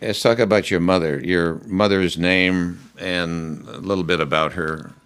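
A male interviewer with a very deep voice asks steady, gentle questions. He keeps the conversation moving, prompting an older guest through his memories. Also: a little slow, clean tone. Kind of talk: oral history interview.

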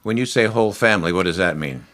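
Deep-voiced man, oral history interviewer; calm, gentle prompting questions. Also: fast.

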